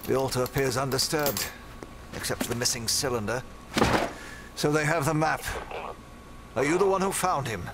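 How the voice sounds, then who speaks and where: An actor playing a cold, cruel, wealthy villain who makes calm, sneering threats in a fan audio drama. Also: male voice, medium pitch, normal speed, pauses often, noisy background, lightly acted. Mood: cold menace. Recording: loud background music.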